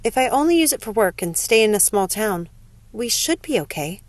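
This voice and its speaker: A woman's voice: a little high, sing-song, normal speed, no room sound. A woman voicing a practical, reassuring young nurse in an audiobook story.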